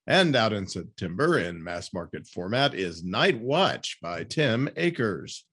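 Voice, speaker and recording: A man with a fairly low voice hosts a book podcast. He moves between scripted announcements and interview talk in the role of presenter. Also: sing-song, measured pace, a bit bright, slightly rough. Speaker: podcast host.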